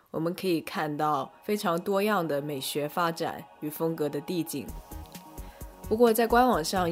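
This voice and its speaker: A woman podcast host giving explanatory review commentary. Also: low voice.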